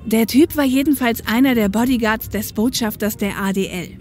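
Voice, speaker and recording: A woman's voice: fairly high pitch, moderate pace, a little mellow, faint music behind, natural voice. A woman playing a tough, upright, street-wise policewoman in an audio drama, speaking matter-of-factly. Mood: reminiscing. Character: tough, upright working-class policewoman and ex-biker, grown woman. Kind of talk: German audio drama acting.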